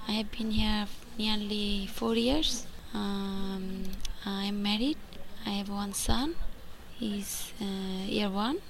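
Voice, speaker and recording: A woman's voice: mid-range voice, fairly even intonation, slow, creaky, slight background noise. A woman from Bangladesh, introducing herself in short plain sentences in class.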